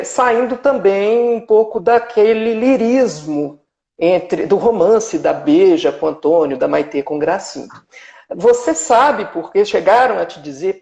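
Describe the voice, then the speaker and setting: Echoey young man, slightly creaky. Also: sits high, a little mellow. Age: young adult. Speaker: amateur interviewer, live stream host. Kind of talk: polite conversational interviewing. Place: online live video call. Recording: echoey, dull live stream audio.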